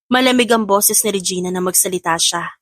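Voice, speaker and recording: A woman's voice: lowish voice, a little fast, a bit bright, no room sound. Filipino woman narrating a romance, dry close sound. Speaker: audiobook narrator. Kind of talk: scripted dramatic narration of a romance story.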